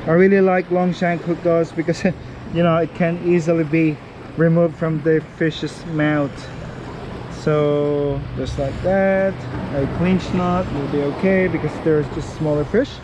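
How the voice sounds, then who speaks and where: A middle-aged man chatting casually as he fishes from a rocky breakwater, laughing and whooping when he gets excited, recorded on an action camera. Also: a little high, a little slow, muffled recording. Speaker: fishing vlogger. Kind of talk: casual fishing vlog commentary. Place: outdoors on a rocky breakwater by the sea. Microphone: head-mounted action camera. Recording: wind and surf noise outdoors.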